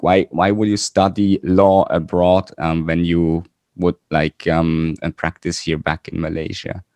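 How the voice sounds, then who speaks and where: Male podcast host, low voice, halting and full of fillers, dry recording. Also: a little mellow, dry recording. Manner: halting, with many fillers and restarts. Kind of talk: casual interview hosting.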